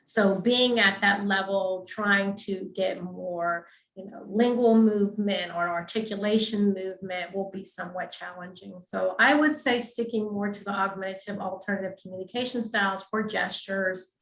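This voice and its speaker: A woman speech therapist talks through a clinical topic at a measured pace and keeps checking that the listener is following. Her voice sits in a middle register and sounds roomy, as if the space around her echoes. Her manner is calm and instructive. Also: medium pitch. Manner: patient, teacherly, checks in with "okay?". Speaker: speech-language pathologist. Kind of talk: explanatory webinar talk.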